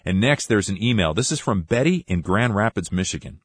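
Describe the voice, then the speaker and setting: A man with a very low voice hosts a radio ministry program. His delivery is friendly and measured, like a practiced announcer. The sound is dry and close, with no room around it. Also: lively intonation, husky, no echo. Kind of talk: scripted radio introduction and letter reading.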